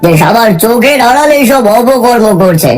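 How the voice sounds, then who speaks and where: A woman's voice: a little high, expressive, moderate pace, a little mellow, soft background music, lightly acted. A woman voicing a stern but caring middle-aged king who speaks firmly and earnestly. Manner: firm and earnest, vowing justice.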